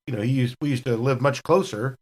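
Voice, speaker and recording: A man's voice: mid-range voice, quick pace, darkish tone. A middle-aged man, a veteran gamer on a podcast, chatting casually in a folksy, rambling way. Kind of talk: casual group chat.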